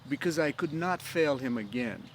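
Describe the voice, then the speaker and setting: A man's voice: fairly high pitch, expressive, normal speed, a little hiss or hum, close to an everyday voice, rough recording. A man voicing an earnest, driven young swordsman in a storybook reading, eager and rambling. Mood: solemn, determined. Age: young adult. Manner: eager, rambling, counting aloud. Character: earnest, driven young swordsman bent on avenging his father.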